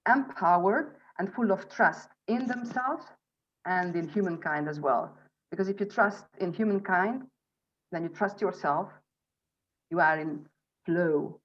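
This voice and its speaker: A woman in her forties with a Hungarian accent, giving an informal spiritual presentation to an online group as a healing instructor and coach, in halting, deliberate phrases. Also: fairly low pitch. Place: online video call from Budapest. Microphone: video call microphone. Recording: dull, lo-fi video call audio.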